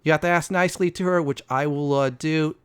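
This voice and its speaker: A man hosting a casual video game walkthrough, often pausing mid-sentence before key words. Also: fairly high pitch, steady tone, clear, resonant tone. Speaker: gaming video host.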